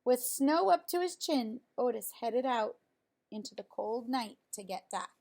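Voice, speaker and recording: A woman reading a children's storybook aloud in an expressive, emphatic storytelling way. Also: a little high, unhurried, creaky. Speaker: storybook reader.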